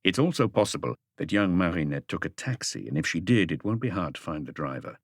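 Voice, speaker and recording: A man's voice: low-pitched, lively intonation, brisk, no room sound, studio quality. A man reading a mystery novel aloud as an audiobook narrator, in a scripted literary style.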